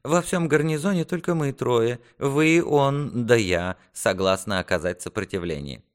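A sardonic, obsequious physician, put on by a male reader. He talks with mock humility and sly hesitation, slightly raised in pitch, as a storybook character. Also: measured pace, lightly acted. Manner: mock-humble, hesitant, chuckling. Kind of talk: audiobook character acting.

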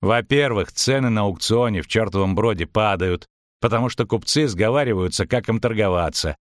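A man voicing a calm, proud shapeshifter posing as a halfling merchant, explaining things with self-assurance in an audiobook. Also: on the low side, moderate pace, dry recording, studio quality, lightly acted. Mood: smug, matter-of-fact. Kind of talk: audiobook character acting.

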